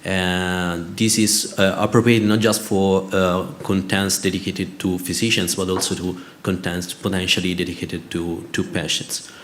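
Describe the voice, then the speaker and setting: Deep-voiced Italian man presenting. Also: level tone, unhurried, hardly any pauses, a bit bright. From Italy. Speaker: conference presenter. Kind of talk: conference presentation.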